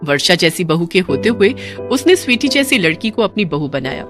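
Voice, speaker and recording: A woman's voice: on the low side, big pitch swings, normal speed, fairly bright tone, faint music behind. A woman narrating a scripted moral story as a storyteller.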